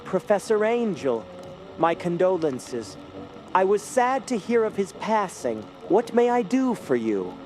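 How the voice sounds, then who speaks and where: An actor playing an eccentric, haughty sculptor haunted by dreams, in a theatrical, brooding radio drama style. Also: male voice, high voice, sing-song, measured pace, noisy background. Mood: aloof, dismissive. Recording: loud music behind the voice. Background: music.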